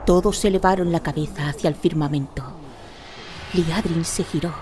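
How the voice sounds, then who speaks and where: A woman's voice: lowish voice, steady tone, normal speed, background noise. A woman narrating a fantasy radio drama in a dramatic, scripted storytelling style. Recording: music behind the narration.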